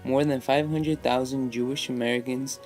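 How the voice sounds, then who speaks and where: Flat-toned male documentary narrator over soft music. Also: medium pitch, moderate pace.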